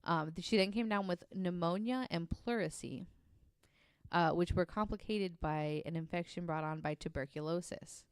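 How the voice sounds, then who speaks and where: Chatty woman telling a story, smooth voice. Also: on the low side, moderate pace, dry recording, clean studio sound. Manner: chatty, many ums and likes, laughs easily. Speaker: podcast co-host telling a true story. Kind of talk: casual storytelling chat.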